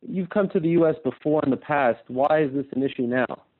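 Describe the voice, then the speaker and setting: A man's voice: a little high, fairly quick, narrow, tinny audio. A man working as a journalist, asking questions on a press conference call over the phone.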